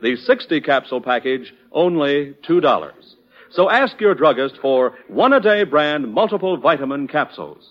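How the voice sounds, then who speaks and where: A male announcer with an American accent delivers an emphatic, salesmanlike pitch in 1940s radio style. His fairly high voice swings widely in melody, on a muffled vintage recording. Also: big pitch swings, moderate pace.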